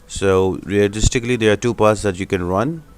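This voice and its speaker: Low-pitched man narrating a game guide. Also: normal speed. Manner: explanatory, step-by-step instruction. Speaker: gaming channel host. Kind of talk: video game guide commentary. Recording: music behind the voice. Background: music.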